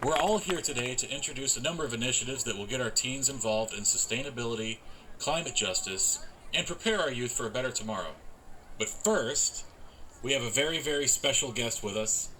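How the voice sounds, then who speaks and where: An actor playing a genial, folksy middle-aged man who emcees a community event in a measured public-speaking manner. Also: mid-range voice, expressive, sharp tone, a little hiss or hum, poor audio. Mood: genial, welcoming. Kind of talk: public welcome speech.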